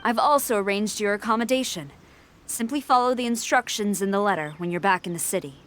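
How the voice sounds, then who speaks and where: A woman's voice: mid-range voice, brisk, fairly bright tone, faint music behind, natural voice. A woman voicing a stern, formal female military general in a game, speaking in a clipped, commanding way. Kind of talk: video game cutscene dialogue.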